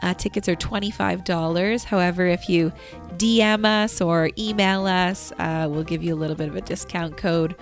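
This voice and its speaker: Casting: woman radio co-host, slightly low pitch, upbeat and casual, music playing behind her. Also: steady tone, normal speed. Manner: upbeat, enthusiastic. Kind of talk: casual event shout-outs on local radio.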